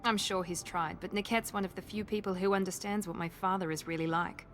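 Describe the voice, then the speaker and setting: A woman's voice: mid-range voice, steady tone, a little fast, fairly bright tone, close to an everyday voice. Here is a woman playing a proud, guarded video game heroine. She speaks with controlled, earnest intensity and sounds fiercely protective, over faint music. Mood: earnest, defensive.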